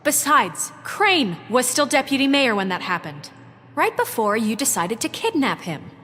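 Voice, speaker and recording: A woman's voice: a little high, very animated, moderate pace, fairly bright tone, husky, full character voice. An actress playing an earnest, firm woman leader of a troubled town in a video game, speaking formally and resolutely. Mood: defensive. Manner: formal, resolute, pleading for trust. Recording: echoey hall, music behind.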